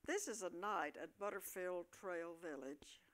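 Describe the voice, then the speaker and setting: A woman's voice: fairly low pitch, unhurried, a bit bright. A woman reading scripted narration for a staged play.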